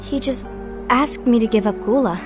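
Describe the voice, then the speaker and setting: A woman's voice: on the high side, normal speed, natural voice. An actress playing an earnest, anxious young woman who leads a union in a fantasy game. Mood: troubled, hesitant. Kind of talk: animated fantasy game cutscene acting. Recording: music behind the voice.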